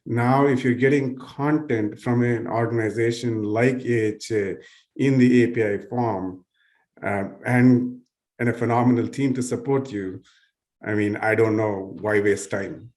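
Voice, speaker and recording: A man's voice: mid-range voice, steady tone, a little slow, darkish tone. A man speaking on a panel as a nonprofit tech leader, with loose, searching phrasing and many fillers. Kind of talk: panel discussion.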